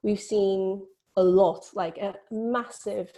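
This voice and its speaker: Creaky, mellow-voiced woman, reflective. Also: medium pitch, steady tone, slow. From born in Vietnam, grew up in Britain. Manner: hedging, frequent "you know" and "kind of". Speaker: film producer on a panel. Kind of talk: informal, reflective panel discussion.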